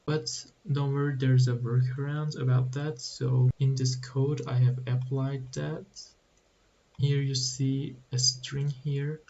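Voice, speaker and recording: A man explaining code slowly with halting restarts, as a tutorial host. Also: medium pitch, flat delivery, creaky, darkish tone, muffled recording, a little hiss or hum. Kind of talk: screen-recorded coding walkthrough.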